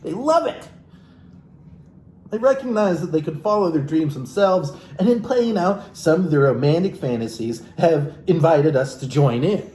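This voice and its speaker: Guy w a slightly high voice reading a book aloud, sardonic n sweary, roomy echo. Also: expressive, normal speed, dark tone. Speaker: reader and host of a comic book reading. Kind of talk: mocking read-aloud with casual asides. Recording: roomy echo with background noise.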